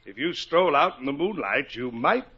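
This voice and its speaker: A man's voice: fairly high pitch, very animated, moderate pace, pushed and bright, breathy edge, full character voice. An actor playing a scheming middle-aged man in a 1940s radio musical, sly and knowing. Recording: dull 1949 radio broadcast.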